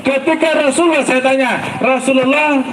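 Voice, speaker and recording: High-voiced joking ustaz in echoey hall. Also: male voice, steady tone, moderate pace. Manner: joking, quizzes the audience. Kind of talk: humorous sermon to school students. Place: school event hall. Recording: echoey hall, background noise and traffic.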